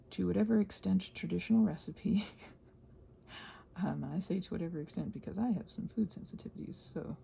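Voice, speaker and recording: A woman rambling casually as a video host, thinking aloud and trailing off, her voice husky and breathy and often sinking toward a whisper. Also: lowish voice, warm tone, slight background noise. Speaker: video host and ASMR creator. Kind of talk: casual rambling craft chat.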